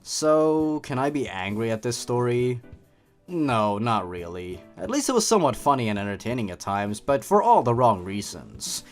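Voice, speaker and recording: A man's voice: medium pitch, very animated, moderate pace. A man reading a scary story in a theatrical, mocking way with comic commentary, as the host of a show. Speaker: host and narrator of a creepypasta review show. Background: music.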